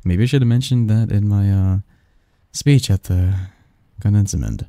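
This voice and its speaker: A man playing a snarky young research assistant who fondly teases a friend in a halting, wordy, intimate ASMR roleplay monologue. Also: on the low side, mellow, no room sound, studio quality, close to an everyday voice. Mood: teasing, fond. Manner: halting, sighing, teasing, wordy.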